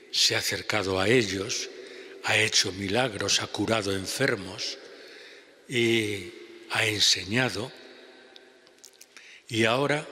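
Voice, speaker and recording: An older man with a Spanish accent preaching a homily at a lectern, slow and measured with pauses. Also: on the low side, pushed and bright. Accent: Spanish (Spain). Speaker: priest. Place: large church basilica. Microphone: lectern gooseneck mic. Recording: long church reverb.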